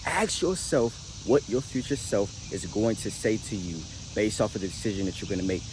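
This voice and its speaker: A man's voice: on the low side, moderate pace. An American man in his late twenties giving a casual motivational talk straight to the audience, emphatic and slangy, repeating words and phrases for weight. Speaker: motivational vlogger. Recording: noisy recording with clear background noise.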